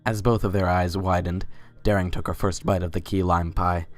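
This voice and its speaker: A man's voice: low-pitched, flat delivery, moderate pace. A man reading scripted story narration in a dramatic reading. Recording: music under the voice. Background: background music.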